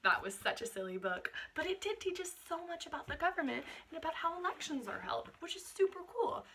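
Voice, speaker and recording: Bright, very animated woman reading to children. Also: very high voice, fairly quick, slight background noise. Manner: expressive, quizzing young listeners. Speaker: teacher reading a picture book aloud. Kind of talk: animated children's read-aloud with explanatory asides.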